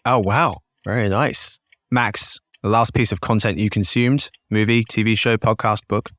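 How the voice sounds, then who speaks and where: A man's voice: fairly low pitch, fairly even intonation, normal speed, fairly bright tone. A man hosting a business podcast in a cheery, jokey, chatty way. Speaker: podcast host.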